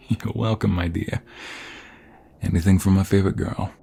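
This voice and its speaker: Guy doing a tender tough mobster husband for asmr roleplay, low gravelly voice, flirty and protective. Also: fairly even intonation, measured pace, mellow, heavily acted. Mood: warm, proud. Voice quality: gravelly, husky. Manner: affectionate, coaxing, speaks straight to a partner.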